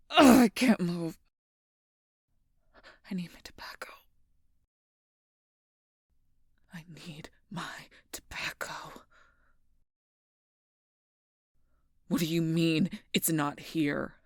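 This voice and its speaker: Female werewolf knight played by a woman, gruff and hurting, audio roleplay. Heavily breathy, lowish, slow strained delivery. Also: dry recording, studio quality, heavily acted. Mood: agonized, craving. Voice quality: breathy, husky, often whispering. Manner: halting, groaning, strained through pain. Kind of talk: intimate audio roleplay acting.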